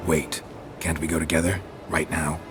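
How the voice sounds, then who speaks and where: A man playing a gruff, stoic monster hunter in a video game, with terse, deadpan delivery and a gravelly voice. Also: rumbling low, normal speed. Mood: dry, subdued. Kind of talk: video game cutscene acting. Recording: loud music under the voice.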